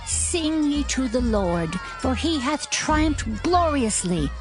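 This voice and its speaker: Jubilant prophetess, high voice, very slow, exultant chanting, faint music. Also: female voice, sing-song, heavily acted. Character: Miriam, jubilant singing prophetess. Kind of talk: dramatized scripture reading.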